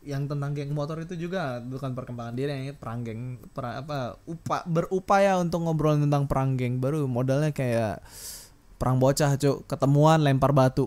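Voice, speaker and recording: A man with a fairly high voice and an Indonesian accent hosts a solo podcast. He rants casually and off the cuff, wandering along in blunt slang with the odd mild swear word. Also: a little mellow. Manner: blunt, rambling, slangy, mildly profane. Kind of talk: unscripted casual ranting monologue.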